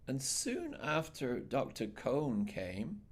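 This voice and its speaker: A man reading a children's picture book aloud in an expressive, measured storytelling style with dramatic phrasing. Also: mid-range voice. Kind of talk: expressive bedtime read-aloud narration.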